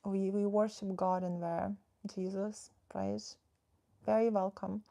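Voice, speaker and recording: Relationship coach, woman from the Netherlands: low gravelly edge, mellow tone, chatty and direct livestream host. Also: flat delivery, takes it slowly. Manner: chatty and direct, reads viewer comments aloud, coaching advice. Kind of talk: casual livestream chat with viewers.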